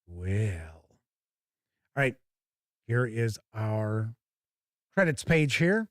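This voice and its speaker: A man's voice: fairly low pitch, sing-song, very slow, dry recording, studio quality. A man hosting a tabletop gaming show, chatting casually and thinking aloud as he gives live first-look commentary.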